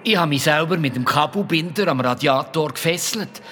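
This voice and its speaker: Highish Swiss German man, sheepish caretaker. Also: a little fast, bright, forceful tone, a little hiss or hum. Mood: sheepish, confessing. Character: sheepish male museum caretaker confessing to theft.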